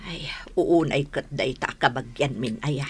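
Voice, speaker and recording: A Filipino woman playing a gossipy, disapproving older village mother in a radio drama, with a husky voice. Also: a bass voice, a little slow, a little mellow, heavily acted.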